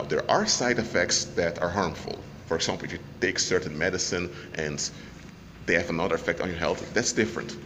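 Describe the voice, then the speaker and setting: A man with a low voice teaches on faith, loud and emphatic, with halting restarts. His voice carries a long reverberant tail. Clear traffic noise runs behind him. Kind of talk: spoken teaching monologue.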